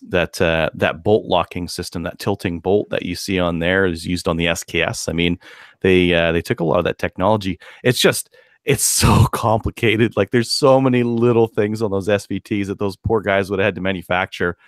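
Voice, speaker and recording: A man chatting casually about technical details as a podcast panelist, informal, halting and enthusiastic. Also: deep voice, no echo, studio quality.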